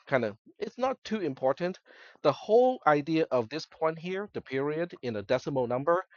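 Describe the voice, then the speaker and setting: A man's voice: medium pitch, dry recording, muffled recording. A man whose first language is Cantonese, giving a classroom lecture in a conversational way and often checking in with the students. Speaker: college instructor.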